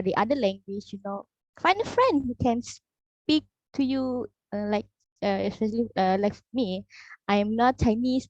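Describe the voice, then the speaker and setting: A woman's voice: medium pitch, darkish tone, no room sound, dull audio. A young woman from Malaysia whose first language is Kayan, answering questions on a panel with a Malaysian accent, filling her talk with "you know" and "uh". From Malaysia (Sarawak). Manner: chatty, many "you know" and "uh" fillers. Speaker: guest speaker.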